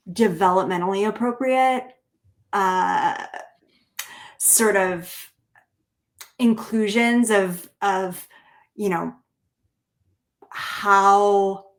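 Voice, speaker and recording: A woman with an American accent, answering interview questions in a thoughtful, measured way and choosing her words carefully. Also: medium pitch. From Bulgaria, grew up in the United States. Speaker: interview guest, writer and therapist. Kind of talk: reflective interview conversation.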